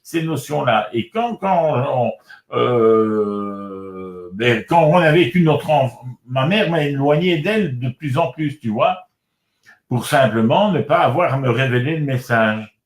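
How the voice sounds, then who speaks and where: A man's voice: mid-range voice, animated, unhurried. Older male author guest, chatty and circling, roomy recording. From Congo. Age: 60s. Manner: rambling, repeats words and restarts often. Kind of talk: casual spiritual conversation. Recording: roomy echo.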